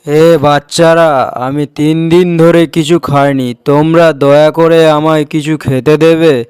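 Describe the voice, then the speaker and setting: Cartoon role: man playing mysterious old beggar, kindly, solemn, very smooth voice, slow. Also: fairly high pitch, fairly even intonation, darkish tone, no echo, studio quality. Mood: pleading. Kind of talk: cartoon dialogue.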